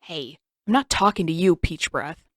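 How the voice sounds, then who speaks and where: A mocking, bossy teenage boy, as a woman reader puts him on in a storybook reading. He talks bluntly and dismissively, set a touch lower and louder than the narration. The recording is dry and close. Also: normal speed, dry recording. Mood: sarcastic. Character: teenage boy, sarcastic, bossy older brother.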